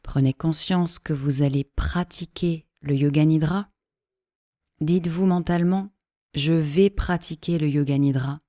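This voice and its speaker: Female meditation guide, calm and measured, mellow tone, close dry sound, soothing instructional delivery. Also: low voice, dry recording. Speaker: yoga nidra teacher.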